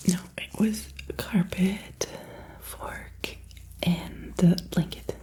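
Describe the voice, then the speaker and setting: A woman whispering an ASMR medical roleplay as a doctor, gently and attentively giving instructions. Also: on the low side, steady tone, extremely slow.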